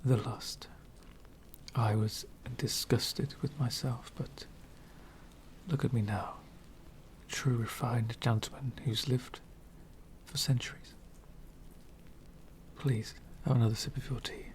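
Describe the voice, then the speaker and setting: A man playing an elegant, centuries-old vampire gentleman, speaking softly and half whispering in a refined, wistful ASMR roleplay. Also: mid-range voice, steady tone, close to an everyday voice. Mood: composed, confessional. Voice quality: soft, breathy whispering. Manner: refined, wistful, slow and deliberate.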